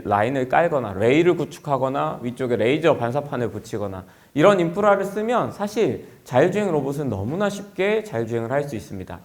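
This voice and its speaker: A man giving a formal conference presentation as a company executive, speaking in a polite, explanatory manner. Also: mid-range voice.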